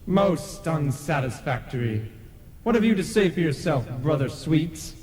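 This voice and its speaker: Pompous, high-voiced ghost chairman. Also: very animated, a little slow, a little mellow. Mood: stern, officious. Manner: pompous, declamatory, officious. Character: stern, pompous male ghost chairman of a tribunal. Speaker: presiding judge. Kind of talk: old-time radio play acting. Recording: echoey long reverb, faint tape noise.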